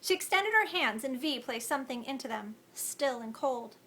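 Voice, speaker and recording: A woman author reading aloud from her novel, expressive in the narration and chatty in her asides. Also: high voice, moderate pace. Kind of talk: audiobook-style reading.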